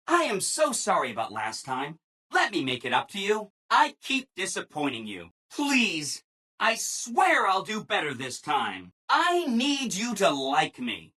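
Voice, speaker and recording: Game robot assistant: bright, very animated, ingratiating, relentlessly upbeat people-pleaser. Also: male voice, sits high, unhurried, slightly rough, exaggerated voice. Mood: pleading, apologetic. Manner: chipper, ingratiating, emphatic, apologetic people-pleaser. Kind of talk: scripted video game dialogue.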